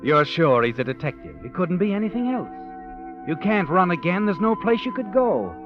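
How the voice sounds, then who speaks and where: An actor playing a sinister, all-knowing narrator with an American accent, needling someone in 1940s radio suspense style. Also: male voice, high voice, sing-song, normal speed. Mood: taunting, ominous. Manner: insinuating, needling, dramatic. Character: the Whistler, sinister all-knowing male narrator addressing a guilty woman. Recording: dull vintage 1940s radio recording. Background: dramatic music.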